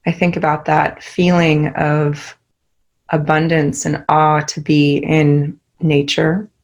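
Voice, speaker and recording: A young woman leading a guided meditation, giving soft, soothing instructions. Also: deep voice, little pitch movement, slow.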